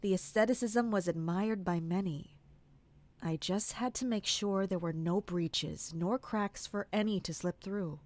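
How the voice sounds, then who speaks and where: A woman's voice: medium pitch, a little slow, darkish tone, lightly acted. A woman playing a vigilant, anxious castle guardian in an audio drama, both narrating and speaking in scenes. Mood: weary, focused. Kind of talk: first-person audio drama.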